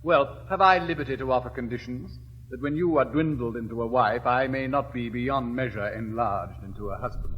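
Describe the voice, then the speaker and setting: An actor playing an elegant, witty gentleman suitor in a classic stage comedy, speaking in a crisp, measured, declamatory way. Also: medium pitch, highs cut off, close to an everyday voice. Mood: wry. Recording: reverberant old recording, faint hiss.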